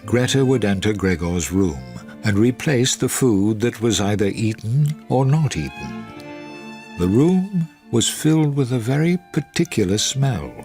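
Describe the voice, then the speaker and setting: An actor playing a wry narrator, delivering scripted storytelling narration in a radio drama. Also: male voice, fairly low pitch, a little mellow.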